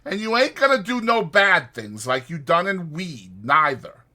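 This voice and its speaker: Man voicing short-tempered rustic minder, sharp bright tone, scolding, wildly animated delivery, audiobook drama. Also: fairly high pitch, unhurried, pitched above the performer's own voice, exaggerated voice. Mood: disgusted, forceful. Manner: exasperated, scolding, rustic ungrammatical speech.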